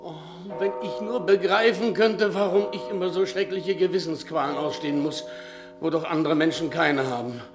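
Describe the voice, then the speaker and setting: A man's voice: fairly high pitch, steady tone, moderate pace, music in the background, close to an everyday voice. An actor playing a frightened, shifty manservant in a German radio play, pleading and hesitant, stumbling over his excuses. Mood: anguished. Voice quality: stammering, hesitant. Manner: pleading, servile, theatrical. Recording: vintage 1960 radio studio recording.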